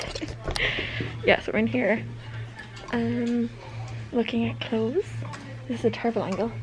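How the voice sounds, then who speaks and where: A woman's voice: a little high, fairly even intonation, measured pace, over music, rough recording. A woman in her twenties with an Irish accent, vlogging casually and chatting with a giggly, self-deprecating manner. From Ireland. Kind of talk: casual vlog chat. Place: inside a car and out on town streets. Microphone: handheld camera at arm's length. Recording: loud road and street noise.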